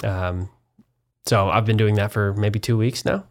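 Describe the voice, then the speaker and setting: A man's voice: on the low side, steady tone, measured pace. A young man from Kansas chatting in an interview about his parish work and hometown, rambling with many fillers and restarts and a dry, self-deprecating humor. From Wichita, Kansas. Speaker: parish communications and development coordinator, guest. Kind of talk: casual interview conversation.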